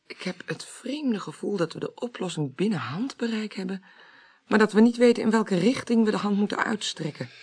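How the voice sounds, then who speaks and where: An actress playing a composed, shrewd female parliamentary clerk in a Dutch radio drama, speaking formally, politely and deliberately. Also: mid-range voice, expressive, a little mellow, breathy edge. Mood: thoughtful.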